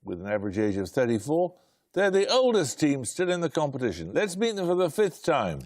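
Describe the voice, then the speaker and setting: A man hosting a quiz show, reading out questions in a brisk, precise way with dry, wry humour. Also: fairly high pitch, wildly swooping pitch, darkish tone, no echo.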